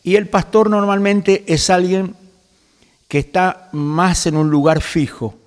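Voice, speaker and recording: An older man in his seventies with an Argentine accent, talking like a preacher in easy conversation. His voice sits a little high for a man and carries a long reverb tail, as if he is in a hall. He reads verses aloud and fills pauses with "este". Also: fairly even intonation. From Galicia, Spain. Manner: quotes scripture at length, fills pauses with "este". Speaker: pastor guest.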